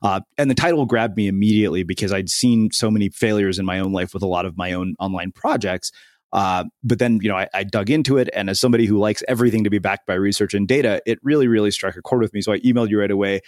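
A man's voice: lowish voice, steady tone, fast, darkish tone, dry recording, clean studio sound. A man hosting an interview podcast, introducing and questioning in a conversational style. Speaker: podcast host.

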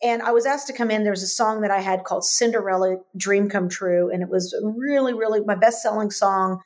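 Female interview guest, animated storyteller, medium pitch. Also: fairly quick. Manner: animated storyteller, repeats phrases for emphasis.

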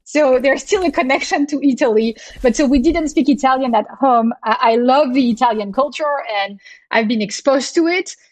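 A woman's voice: high voice, moderate pace, no echo, poor audio. A woman, speaking as a guest in a thoughtful, hesitant way. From France. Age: 50s. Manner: thoughtful, hesitant, restarts phrases. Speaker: guest author. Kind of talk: casual interview chat.

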